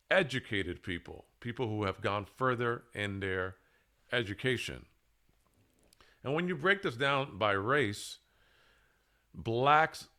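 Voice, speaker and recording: A man presenting a scripted monologue in a deliberate, halting way, with emphatic pauses between short phrases. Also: mid-range voice, bright, forceful tone. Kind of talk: scripted monologue, public speaking.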